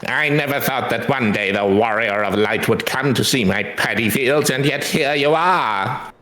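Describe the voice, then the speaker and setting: A man's voice: medium pitch, measured pace, pushed and bright. A man playing a humble, earnest rice farmer in a game, speaking politely and formally. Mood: humbly pleased. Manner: formal, courteous phrasing. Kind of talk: scripted game dialogue read aloud. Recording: roomy echo, music behind. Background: music.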